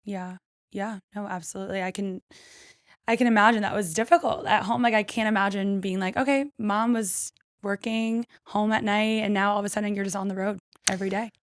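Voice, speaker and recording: A woman's voice: mid-range voice, fairly even intonation, a little fast, no echo. A woman interviewing a guest in a casual, conversational and supportive way. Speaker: podcast interviewer.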